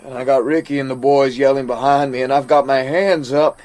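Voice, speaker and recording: An actor playing a haunted American soldier, telling his story in a halting, stammering dramatic monologue with an American accent. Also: medium pitch, steady tone, a little mellow, faint music behind, natural voice. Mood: agitated, emphatic. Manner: halting, stammering, repeats words. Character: haunted male American soldier recounting a deadly standoff. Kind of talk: dramatic first-person monologue.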